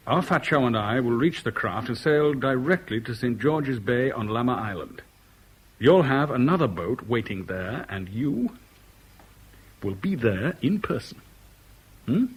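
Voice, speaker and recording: Slightly husky, resolute Englishman. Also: mid-range voice, rough recording. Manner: clipped, serious, businesslike. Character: respectable Englishman, terse, resolute, embittered by love. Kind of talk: 1970s British radio drama acting and first-person narration.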